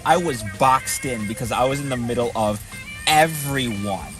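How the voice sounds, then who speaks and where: A man talks casually and without a script, stopping and restarting as he looks for words. His pitch is average, and music plays clearly behind him. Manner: halting, repeats words while searching. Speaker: storyteller recounting a protest. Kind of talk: casual unscripted recollection.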